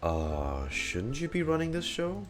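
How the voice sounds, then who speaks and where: A man's voice: mid-range voice, huge pitch swings, unhurried, soft background music. A man casually reacting to a video game as a streamer, playfully talking back to the characters.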